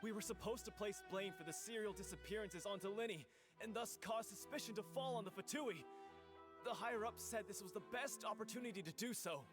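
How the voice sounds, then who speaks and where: An actor playing a nervous, cornered guard in a video game, hesitant and anxious. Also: male voice, high voice, a little fast, close to an everyday voice. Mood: nervous, pleading. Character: nervous cornered guard confessing his crimes. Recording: game music clearly behind.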